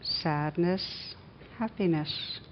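A woman leading a guided meditation as a teacher, speaking gently and soothingly, with long unhurried pauses. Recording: dull muffled recording with faint rumbling noise.

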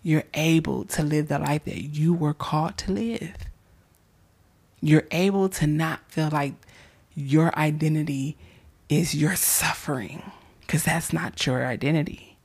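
Woman podcast host, hoarse and raspy, fading into breathy whispers; gentle pep talk on faith. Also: sits low, fairly even intonation, unhurried. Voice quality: hoarse, gravelly. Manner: soft-spoken, drops into whispers, affectionate. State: losing her voice. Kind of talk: casual faith-based encouragement.

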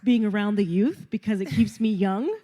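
A woman's voice: medium pitch, normal speed, mellow. A woman hosting a talk show, encouraging and chatty, with many fillers and repeated words. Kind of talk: interview conversation.

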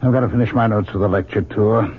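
A man's voice: lowish voice, fairly even intonation, fast talker, husky. An actor playing a weary, irritable academic husband and worried father in an old-time radio drama. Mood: uneasy, reproachful. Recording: tinny, band-limited old radio broadcast.

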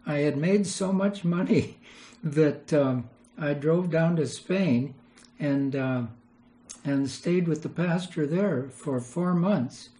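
A man's voice: a little high, slow pace, dark tone, low-quality recording. An older man, a retired missionary, telling rambling anecdotes in an interview and chuckling as he goes. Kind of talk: storytelling interview.

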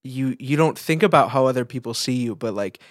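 A man co-hosting a casual podcast, thinking aloud in a reflective, halting way. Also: medium pitch, dry recording, studio quality. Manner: reflective, halting, piecing thoughts together. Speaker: podcast co-host, photographer.

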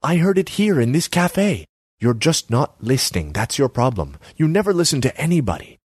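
Man voicing haughty young female artist, high, preachy, dry close sound. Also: big pitch swings, moderate pace, darkish tone, slightly rough, no echo. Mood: haughty lecturing. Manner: scornful, lecturing, self-important.

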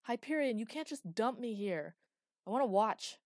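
A woman voicing a reckless, bloodthirsty man who shouts and taunts loudly. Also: mid-range voice, brisk, no echo, studio quality, lightly acted. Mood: gleeful, taunting. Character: reckless bloodthirsty young man, gleeful and crude.

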